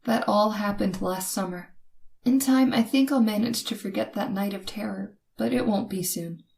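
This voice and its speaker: Woman doing scared young lady in an old radio thriller, breathy halting dramatic storytelling, mid pitch. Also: darkish tone, close to an everyday voice. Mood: haunted relief. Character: Linda, terrified young woman narrating her night of terror. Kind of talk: old-time radio suspense acting, first-person narration.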